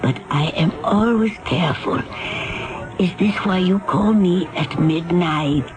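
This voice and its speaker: A woman's voice: on the low side, over music, lightly acted. Woman playing a proud, formal lady professor. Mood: puzzled, uneasy. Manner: formal, measured, unyielding. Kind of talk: 1970s radio drama acting.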